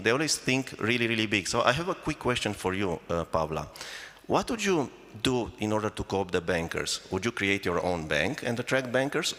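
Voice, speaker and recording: A man's voice: deep voice, pushed and bright. A man with a Romanian accent, explaining things emphatically as a panel moderator. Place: festival hall. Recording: echoey hall sound.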